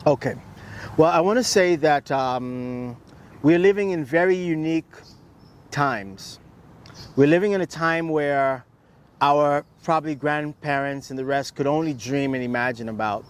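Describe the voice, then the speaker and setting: A man with a slightly raised pitch shares advice as a guest in a conversational interview. He speaks emphatically, stacking phrases in lists. He often pauses to pose rhetorical questions. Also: clear, resonant tone. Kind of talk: reflective advice-giving conversation.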